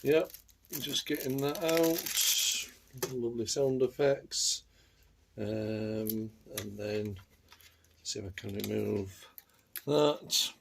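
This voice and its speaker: Slow-talking man muttering through a hands-on demonstration. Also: lowish voice, gravelly, a little hiss or hum. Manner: hesitant, muttering to himself while fiddling with small parts. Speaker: amateur video maker demonstrating a stylus replacement. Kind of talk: casual unscripted how-to narration to camera.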